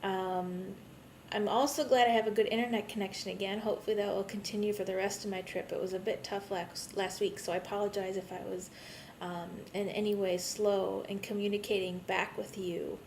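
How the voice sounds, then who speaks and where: A woman's voice: flat delivery, a little fast, darkish tone. A woman, a bit tired, giving a conversational, rambling video update to her online students as their course instructor. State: a bit tired, jet-lagged. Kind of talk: informal recorded video message to students. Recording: rumbly background noise.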